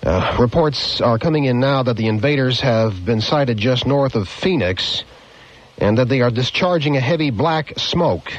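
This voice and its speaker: An actor playing a radio anchor who speaks haltingly, with frequent hesitations. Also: male voice, mid-range voice, animated, lightly acted. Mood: worried. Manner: halting, with many uh's. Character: male radio station anchor. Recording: dull radio sound.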